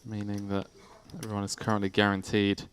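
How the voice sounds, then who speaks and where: A man giving brisk, chatty play-by-play commentary on a live poker stream. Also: fairly low pitch, monotone, a little hiss or hum.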